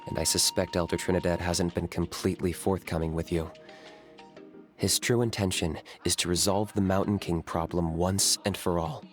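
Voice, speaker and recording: A man as a low-voiced, coolly blunt game character. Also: fairly even intonation, soft background music, lightly acted. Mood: blunt, calm. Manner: matter-of-fact, unhurried, dry. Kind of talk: video game character acting.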